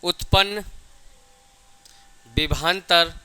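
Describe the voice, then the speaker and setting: A male teacher delivers a lecture in a very bright, crisp and fairly high voice. He dictates definitions, repeats key phrases, and poses questions that he answers himself. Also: very slow. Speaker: physics teacher.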